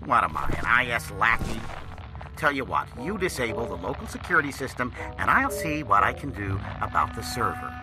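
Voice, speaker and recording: A man's voice: mid-range voice, very animated, fairly quick, music in the background, natural voice. An actor voicing a cowardly, smug, sarcastic middle-aged male engineer in a video game. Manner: sarcastic, petulant.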